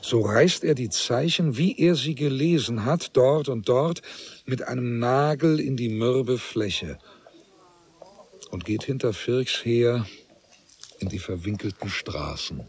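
A man narrating a literary radio drama in a grave, measured way, with deliberate pauses. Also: medium pitch, husky, exaggerated voice. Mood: grave, reflective.

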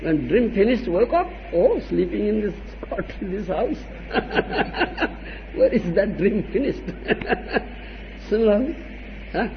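Brief: male spiritual teacher, high lively voice, chatty lecture style, lo-fi archival recording. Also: takes it slowly, gravelly, pauses often. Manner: asks "huh?" often, acts out little dialogues, chuckles. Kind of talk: devotional lecture. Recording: dull lo-fi archival tape with faint hiss.

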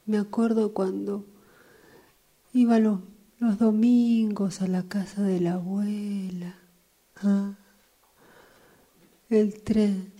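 A woman's voice: medium pitch, level tone, slow pace, long pauses, dark tone. A woman acting a dreamy, wistful train passenger in a radio drama, theatrically echoing her own phrases. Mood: dreamy, nostalgic.

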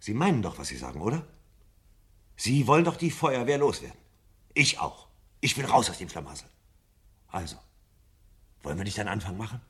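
Urgent, earnest idealist rebel. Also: male voice, fairly low pitch, very animated, a little fast, long pauses, a bit bright. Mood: urgent, excited. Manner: urgent, pleading, insistent. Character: earnest, restless rebel man, desperate idealistic dreamer. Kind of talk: 1970s German radio drama acting.

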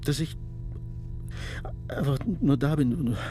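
An actor playing a shell-shocked police detective in a German radio crime drama, speaking haltingly and repeating himself, overwhelmed with emotion. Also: male voice, mid-range voice, mellow, faint music behind, close to an everyday voice. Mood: distraught, halting.

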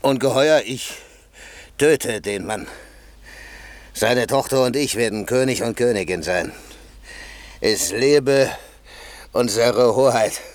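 A man's voice: medium pitch, slow, long pauses, dry recording, natural voice. An actor playing a bossy, self-important drunken servant who fancies himself king, pompous and commanding in a classical German radio play. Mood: grandiose.

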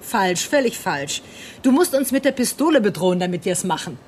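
A woman's voice: mid-range voice, very animated, a little fast, slight background noise, close to an everyday voice. An actress playing a defiant female doctor held hostage in a radio drama, sarcastic, biting and taunting. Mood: scornful, taunting.

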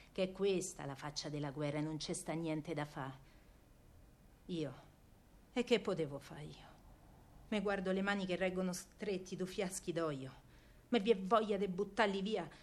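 A woman's voice: medium pitch, lightly acted. An actress playing a feisty working-class woman of wartime Rome, telling her story in an earthy, colloquial Roman accent, as in a radio drama. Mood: hushed, grief-stricken. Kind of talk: dramatic first-person radio monologue in dialect.

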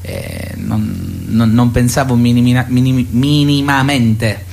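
A man answering interview questions as a guest, haltingly searching for his words. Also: mid-range voice, big pitch swings, a little mellow. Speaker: playwright and stage director, interview guest. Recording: clear hum and background noise.

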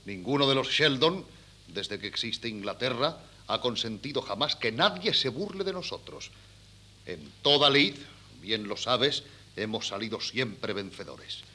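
A man's voice: mid-range voice, sing-song, measured pace, sharp tone, breathy edge, heavily acted. An actor playing a proud, blustering middle-aged father, easily embarrassed, in theatrical 1970s radio-play style. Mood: proud, grandiose. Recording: hissy, humming old cassette recording.

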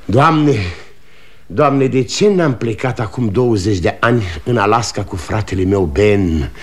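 A man's voice: fairly low pitch, darkish tone, natural voice. An actor playing a weary, irritable aging father and failing salesman in a 1960 radio drama, rambling and scornful. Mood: wistful, regretful. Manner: agitated, rambling, nostalgic, scornful. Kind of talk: 1960 Romanian radio theatre acting.

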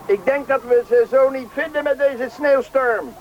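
A man acting a calm, authoritative search party leader in a radio play, reassuring and matter-of-fact. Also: very high voice, measured pace. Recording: dull radio play recording, faint noise.

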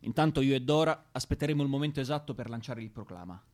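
Actor as male underground cell leader, authoritative, stern, theatrical radio drama, very lively pitch. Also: fast, close to an everyday voice. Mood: firm. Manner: authoritative, declamatory.

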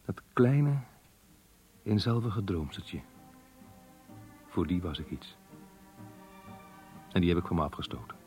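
An actor playing a troubled, self-doubting married schoolmaster in a radio play, speaking in a measured, brooding way. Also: male voice, low voice, sing-song, darkish tone, soft background music. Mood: self-doubting, remorseful. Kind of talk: Dutch radio play acting and monologue.

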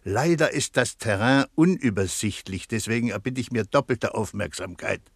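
A man's voice: fairly low pitch, normal speed, slightly rough, no room sound, clean studio sound. A man playing an impatient, officious police chief inspector giving orders in a German radio crime satire. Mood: brisk, commanding.

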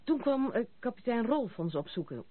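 A woman's voice: fairly high pitch, big pitch swings, moderate pace. An actress playing a proud, outspoken young noblewoman with some bitterness in a Dutch radio play. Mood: bitter, confiding. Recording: muffled, noisy, narrow-band old radio recording.

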